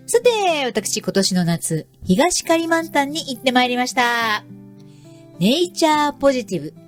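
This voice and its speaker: A woman hosting a radio program in a polite, presenterly manner, introducing it as navigator. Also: fairly high pitch. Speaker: program navigator and environmental education instructor. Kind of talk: scripted radio show introduction.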